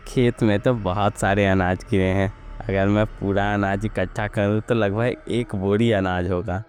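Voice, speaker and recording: A man voicing a poor, hungry farm labourer in an animated village story, troubled and pleading in manner. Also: lowish voice, measured pace, slight background noise, lightly acted. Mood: scheming.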